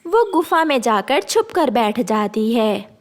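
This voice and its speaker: A woman narrates a children's cartoon as a storyteller. Her voice is high, and her pitch moves up and down in a lively way. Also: slow pace.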